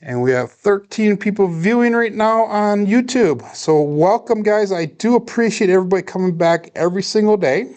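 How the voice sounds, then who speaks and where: A man talks viewers through a dice practice session in an unhurried, steady way. His voice sits fairly high for a man and has a clean, smooth tone. He sounds relaxed and easy to listen to in the background. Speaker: craps practice live streamer. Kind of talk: casual play-by-play livestream narration.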